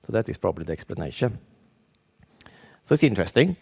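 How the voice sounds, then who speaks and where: Halting Swedish-accented man, gravelly voice. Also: on the low side, big pitch swings. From Sweden. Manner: halting, with frequent restarts and fillers. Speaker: conference speaker. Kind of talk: conference presentation.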